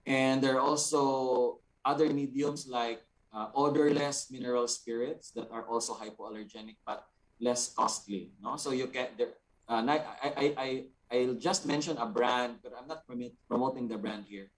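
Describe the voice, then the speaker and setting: A man with a Visayan Filipino accent giving informal, halting instruction as a guest art teacher, switching between languages. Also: medium pitch, fairly even intonation, poor audio.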